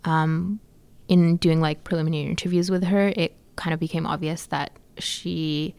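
Young woman filmmaker, low and gravelly, hesitant interviewee. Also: steady tone, moderate pace, no room sound. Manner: soft-spoken, hesitant with many fillers. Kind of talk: casual interview answers.

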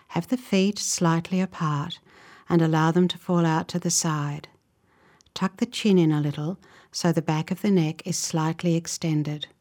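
A woman leading a guided relaxation meditation in a soft, breathy voice, giving gentle, soothing instructions in short, measured phrases. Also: fairly low pitch. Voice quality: soft, breathy, often near a whisper.

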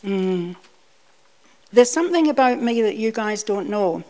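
A woman's voice: mid-range voice, animated, measured pace, mellow, low-quality recording. New woman preaching conversationally. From Scotland, raised in New Zealand. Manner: conversational, repeats words when restarting. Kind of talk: personal sermon with anecdotes.

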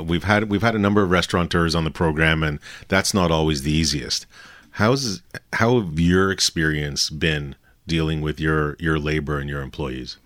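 A man's voice: extremely low pitch, a bit bright, dry recording. A man co-hosting a business radio show, asking long, conversational questions with many restarts. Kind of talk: business interview.

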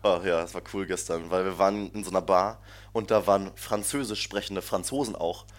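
A young man with a fairly low voice tells stories in a loose, rambling way, often breaking into laughter. His talk is casual and full of slang. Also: steady tone, brisk. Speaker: podcast co-host. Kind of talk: casual chat. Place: shared flat abroad.

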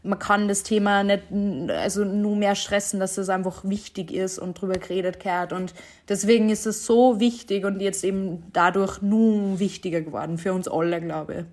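A woman's voice: medium pitch, steady tone, moderate pace, a little mellow. Chatty young woman. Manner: chatty, many fillers, laughs often. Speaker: singer-songwriter guest. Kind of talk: casual interview answers.

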